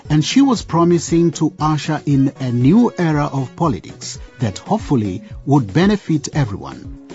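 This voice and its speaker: A man's voice: mid-range voice, dark tone, dull audio. A man narrating a radio drama as a storyteller addressing listeners in scripted style.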